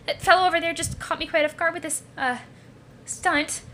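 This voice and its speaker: A woman's voice: very high voice, fairly even intonation, brisk, a bit bright, a little hiss or hum, voice pushed up. A woman voicing a polite, well-meaning young woman who speaks in a proper, apologetic way.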